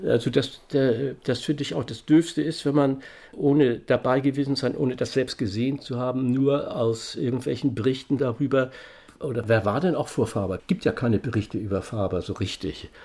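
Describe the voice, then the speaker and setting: A man in a spontaneous discussion, talking in a halting, digressive and insistent way. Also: mid-range voice, a little mellow.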